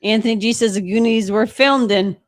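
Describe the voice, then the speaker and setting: A woman's voice: on the high side, a little fast. A woman co-hosting a live stream, reading out viewer chat and bantering casually in a playful, teasing way.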